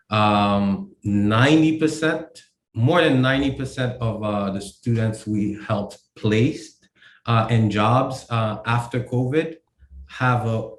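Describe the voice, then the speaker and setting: A man from Haiti, a company co-founder, explaining his work in a casual interview, halting with many fillers and restarts. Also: fairly low pitch, echoey room. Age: adult.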